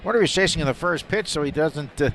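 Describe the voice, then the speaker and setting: A man calling baseball live on radio, describing each play in detail and getting excited on the big ones. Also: a little high, quick pace. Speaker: play-by-play announcer. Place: stadium broadcast booth. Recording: faint stadium noise behind a broadcast.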